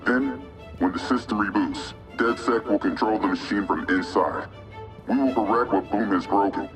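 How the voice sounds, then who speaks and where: A man's voice: lowish voice, normal speed, lightly acted. A man playing the solemn, ominous spokesman of an anonymous hacker collective in a video game. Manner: ominous, declarative. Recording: distant voice over music.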